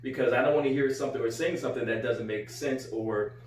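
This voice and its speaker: A man's voice: medium pitch, fast talker, a little mellow, distant mic. A middle-aged man with an American accent chatting casually as a co-host, repeating phrases for emphasis. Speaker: co-host, a father. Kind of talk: casual chat. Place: small living room, on a couch. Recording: roomy, off-mic, faint hum.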